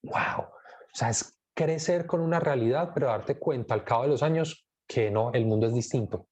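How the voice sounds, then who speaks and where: A man hosting a film club, talking casually and reflectively, thinking aloud with many pauses and fillers. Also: mid-range voice, a little mellow, slightly rough. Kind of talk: casual live film commentary.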